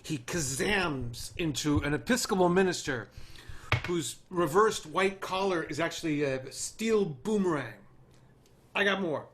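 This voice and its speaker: A man's voice: animated, measured pace, fairly bright tone, slight background noise. An actor playing a brash, volatile, profane male TV producer in a screenplay table read, glib one moment and bitter the next. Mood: glib, joking. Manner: glib pitching, swearing when frustrated.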